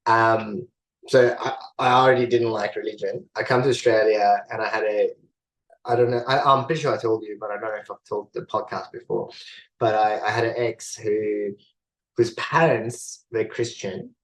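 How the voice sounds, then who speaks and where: A man's voice: lowish voice, steady tone, moderate pace, rough recording. A man from Iran co-hosting a casual podcast, talking in a thoughtful way as he searches for his words. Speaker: podcast co-host.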